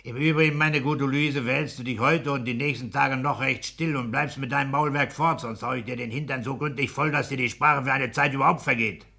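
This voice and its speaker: Polternder, herrischer Spießer mit forscher Stimme. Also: male voice, medium pitch, steady tone, a little fast, creaky, hardly any pauses, sharp tone, husky, heavily acted. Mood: scolding, domineering. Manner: pedantic, domineering, emphatic. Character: blustering, bullying petty-bourgeois husband and civil servant. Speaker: radio play actor. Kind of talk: 1960s German radio play acting.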